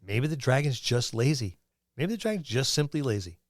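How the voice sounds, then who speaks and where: A man co-hosting a podcast, chatting casually and haltingly about tabletop gaming, thinking aloud as he goes. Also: mid-range voice, very animated, no room sound, studio quality. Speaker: podcast co-host.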